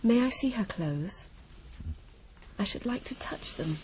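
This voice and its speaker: A woman's voice: medium pitch, animated, unhurried, a little mellow, a little hiss or hum, natural voice, rough recording. A young woman acting a proud, headstrong medieval noblewoman in a radio drama, with intense, defiant, formal delivery. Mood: grieving, vengeful. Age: young adult.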